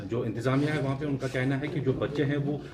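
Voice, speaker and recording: A male reporter puts a question in a press setting, his voice at an average pitch. The recording is echoey and noisy, as if he stands away from the microphone. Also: steady tone, fast talker.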